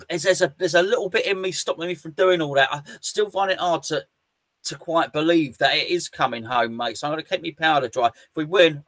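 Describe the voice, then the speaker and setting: A man's voice: on the high side, a bit bright, dry recording. A man hosting a casual football fan show, reading out listener comments and humming fillers between them. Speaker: fan podcast host.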